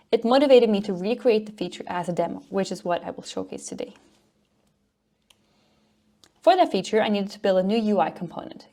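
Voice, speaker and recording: A woman from Norway speaks English. She presents as a conference speaker, explaining technical material in an informal, upbeat way. Her voice sits at an average pitch for a woman. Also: creaky, dark tone. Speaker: conference speaker, front-end developer and educator.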